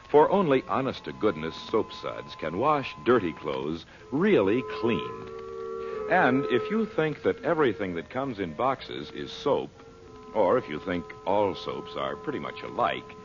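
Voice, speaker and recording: A man announcing for an old-time radio show, delivering a scripted sponsor message. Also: on the low side. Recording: dull old radio recording, music.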